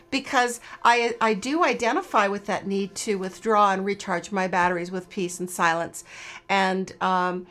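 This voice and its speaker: A woman's voice: medium pitch, a bit bright. Host, woman from Canada, North American accent with Australian hints, chatty storyteller. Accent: North American with some Australian. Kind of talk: casual interview chat.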